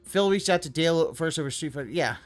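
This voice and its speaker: A streamer talking over a video they are reacting to, their voice sitting at an ordinary pitch. They rant and swear freely and at times burst into loud yelling. Faint music plays under the talk. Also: expressive, quick pace. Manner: ranting, profane, swings into loud yelling. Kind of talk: live reaction commentary.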